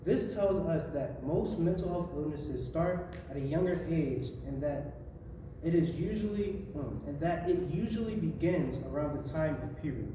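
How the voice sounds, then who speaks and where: Raspy young man reading from afar. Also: a little high, lively intonation. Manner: halting, restarts misread phrases. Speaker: student presenter. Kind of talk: reading a prepared speech. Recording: echoey room, voice from far off, noisy.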